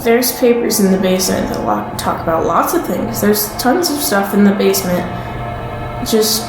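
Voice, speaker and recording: A woman playing an uneasy young woman in a naturalistic fiction podcast, speaking haltingly and trailing off. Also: medium pitch, close to an everyday voice. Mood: hesitant, secretive. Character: hesitant, uneasy young woman living in a strange house. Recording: roomy echo, music underneath. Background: music.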